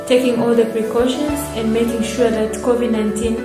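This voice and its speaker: A woman's voice: a little high, flat delivery, fairly quick. A woman postgraduate student from Tanzania giving a formal, earnest video message. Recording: echoey room with music.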